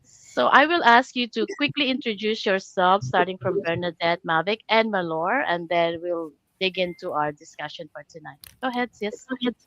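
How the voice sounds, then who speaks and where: A woman's voice: medium pitch, moderate pace, a bit bright, dry recording, muffled recording. An older woman, a grandmother, hosting a live online panel chat and welcoming her guests, with a few restarts as she reads out the questions. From Canada.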